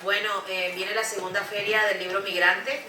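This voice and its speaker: A woman's voice: mid-range voice, flat delivery, normal speed, a crowd in the background. A woman journalist and cultural organizer upbeatly runs through a list of upcoming plans. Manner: upbeat, rattles off plans in lists. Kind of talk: panel conversation. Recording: echoey room, distant mic, faint noise.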